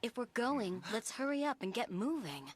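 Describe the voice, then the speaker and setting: A woman's voice: a little high, sing-song, normal speed, dry recording. An actress playing a cool, aloof warrior woman in a video game, curt and dryly condescending. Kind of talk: video game cutscene acting.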